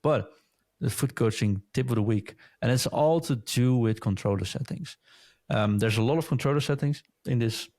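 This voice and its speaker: A man with a fairly low voice runs a casual gaming podcast. He talks in a loose, rambling way, full of ums and restarts. The recording is dry and close, with no room sound. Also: no echo. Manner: relaxed, rambling, many ums. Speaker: podcast host.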